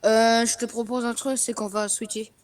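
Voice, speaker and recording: High-pitched hesitant zombie apocalypse survivor played by a woman. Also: level tone, normal speed, lightly acted. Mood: uneasy. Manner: hesitant, repetitive, informal. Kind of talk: improvised game roleplay acting, casual.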